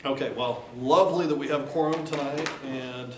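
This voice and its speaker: A man's voice: mid-range voice, fairly even intonation, noisy background. Man chairing a meeting in an echoey hall. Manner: brisk, procedural, light joking. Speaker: board chair running the meeting. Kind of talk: formal meeting procedure. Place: meeting room. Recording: roomy hall, distant mic.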